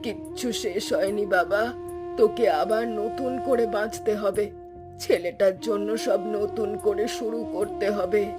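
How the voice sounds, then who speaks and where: A woman's voice: medium pitch, fairly even intonation, measured pace, over music, natural voice. A woman voicing a consoling, advice-giving village aunt in a cartoon. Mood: consoling, firm. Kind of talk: Bengali cartoon voice acting.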